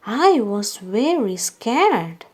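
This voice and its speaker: Female read-aloud storyteller for small children. Very unhurried pacing, animated intonation, scripted character dialogue. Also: on the high side, over music. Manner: slow, deliberate delivery for young children.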